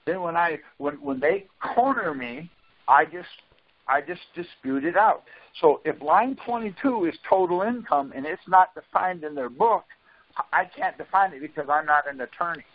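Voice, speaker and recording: Man, high voice, insistent, argumentative explaining, repetitive, interrupts, dull conference call audio. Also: lively intonation, normal speed. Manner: insistent, interrupting, repeats himself. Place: group phone conference call.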